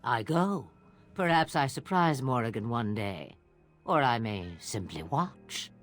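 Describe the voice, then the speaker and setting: A woman's voice: a bass voice, animated, unhurried, faint music behind. An actress playing a sly, cryptic old witch in a video game, speaking theatrically in riddles. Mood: musing. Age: older. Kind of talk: video game drama acting.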